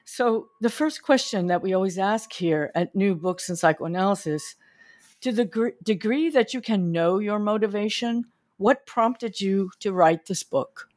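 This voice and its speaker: A woman's voice: mid-range voice, measured pace, a little mellow. A woman hosting a podcast, reading a careful scripted introduction and then asking questions in a looser way. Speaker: podcast host.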